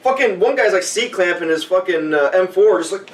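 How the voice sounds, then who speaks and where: A man's voice: high-pitched, lively intonation, a little fast. A man casually telling a sweary, rambling story among friends, mimicking other people's dialogue as he goes. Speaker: podcast co-host and army veteran telling a story. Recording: roomy echo, distant mic, faint noise.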